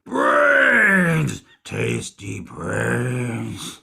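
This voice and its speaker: A man playing a hungry, picky zombie in a comedic audio play, speaking slow broken English in a rough, gravelly moan. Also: medium pitch, wildly swooping pitch, heavy background noise, exaggerated voice. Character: hungry, picky, dim-talking male zombie.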